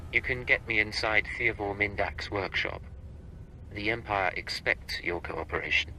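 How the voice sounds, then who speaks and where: An actor playing a cold, curt imperial intelligence agent in a video game, clipped, menacing and businesslike. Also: male voice, on the low side, normal speed, bright, forceful tone, a little hiss or hum, low-quality recording. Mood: cold, stern. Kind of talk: video game cutscene acting.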